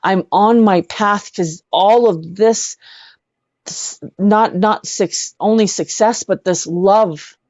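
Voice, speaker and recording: A woman's voice: mid-range voice, slow pace, darkish tone, no echo. A woman tells personal stories as a guest in a casual interview. Her manner is effusive and earnest, and she often repeats words for emphasis. The call audio is dull and dry, with little room sound. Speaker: interview guest, singer-songwriter. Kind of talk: casual chat, personal storytelling. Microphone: video call.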